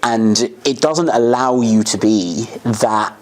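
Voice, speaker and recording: A man's voice: medium pitch, slow pace. A man hosting a casual interview, talking in rambling, filler-heavy sentences. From United Kingdom. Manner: rambling, many fillers and restarts. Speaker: podcast host.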